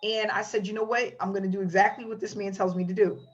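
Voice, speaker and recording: A woman hosting a motivational webinar, telling her story in an animated way and acting out the quotes. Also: medium pitch, quick pace. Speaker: webinar host. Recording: lo-fi online call audio.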